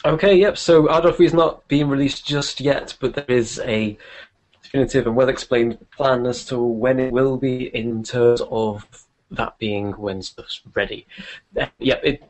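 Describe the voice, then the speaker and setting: A man's voice: mid-range voice, steady tone, a little slow, low-quality recording. A man co-hosting a podcast, chatting casually through tech news. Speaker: podcast co-host.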